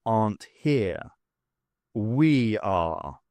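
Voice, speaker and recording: A man's voice: lowish voice, expressive, extremely slow, a little mellow, dry recording, voice pushed down. A man voicing an earnest male envoy in an audiobook, formal and explanatory in manner. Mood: matter-of-fact.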